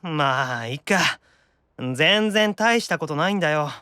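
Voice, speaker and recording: A male actor voices an excitable young demon boy for an anime audio drama. He is bright and high, whiny and easily flustered, with big swoops between muttered confession and pleading. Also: measured pace, smooth, no room sound, clean studio sound. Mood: sheepish.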